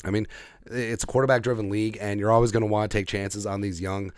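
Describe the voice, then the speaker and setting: A man with a fairly low voice talks through football draft opinions as a podcast host. He is emphatic and speaks off the cuff, often restating a point to drive it home. The sound is dry, with very little room to it. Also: flat delivery, fast talker, clear, resonant tone, no room sound, soft background music.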